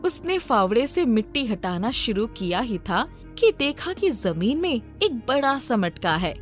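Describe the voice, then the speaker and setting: High, lively woman storyteller. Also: measured pace, soft background music. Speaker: story narrator. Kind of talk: scripted storybook narration.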